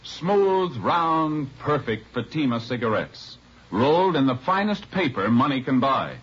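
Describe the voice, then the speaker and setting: A man's voice: mid-range voice, sing-song, unhurried, slightly rough. Emphatic American radio announcer, swooping male voice. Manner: declamatory, emphatic. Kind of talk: 1950s radio announcing, scripted ad and show intro. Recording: muffled old radio recording, loud music.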